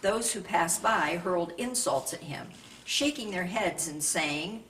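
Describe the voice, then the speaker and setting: A woman with a slightly low-pitched voice reads a Bible narrative aloud. Her delivery is scripted and measured, in the manner of a scripture reader. She sounds somewhat distant from the microphone, with faint noise behind her. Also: lively intonation, a bit bright. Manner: measured, raises voice for quoted speech.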